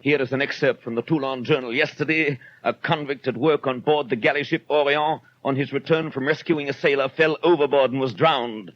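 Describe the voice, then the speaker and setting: A man's voice: medium pitch, fairly quick, few pauses, natural voice. An actor playing a stern, obsessive police inspector reading formally from his notebook in 1930s radio style. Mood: cold, final. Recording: muffled, hissy 1930s radio recording.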